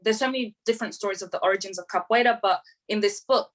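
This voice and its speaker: A woman's voice: medium pitch, fast talker. A young woman who grew up in London, talking eagerly as a panelist on a video call, with many fillers and restarts. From grew up in London, England. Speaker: panelist, writer and performer. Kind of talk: online panel talk.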